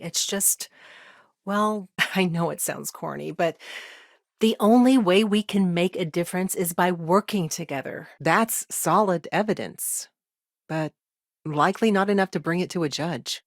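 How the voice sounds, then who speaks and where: A woman playing an earnest, overworked lawyer in a video game, speaking in a measured, matter-of-fact, conversational way with dry wit. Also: lowish voice, no room sound. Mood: sincere, measured. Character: earnest, overworked female frontier lawyer, dry-witted and principled. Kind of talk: naturalistic video game dialogue acting.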